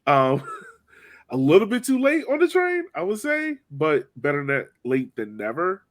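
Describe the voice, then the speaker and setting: A man's voice: fairly high pitch, wildly swooping pitch, unhurried, a bit bright. A man hosting a sports podcast, chatting informally and slangily as a fan. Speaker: podcast host. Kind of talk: casual sports chat.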